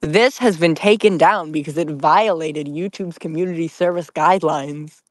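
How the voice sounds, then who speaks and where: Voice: mid-range voice, normal speed, no room sound. A podcast co-host with a mid-range voice and a dry, close sound. The talk is casual and playful, given to joking storytelling. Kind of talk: casual chat.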